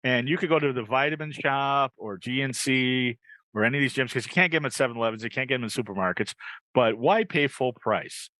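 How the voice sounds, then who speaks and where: Male podcast host, medium pitch, loose rambling delivery with repeated phrases, dry recording over a video call. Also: brisk, bright, forceful tone, no room sound, poor audio. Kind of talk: casual podcast chat with live ad reads.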